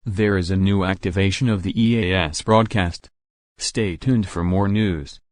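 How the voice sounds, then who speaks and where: A man's voice: low voice, fairly even intonation, measured pace, no room sound, studio quality. A man playing a composed, businesslike local news anchor delivering scripted breaking news.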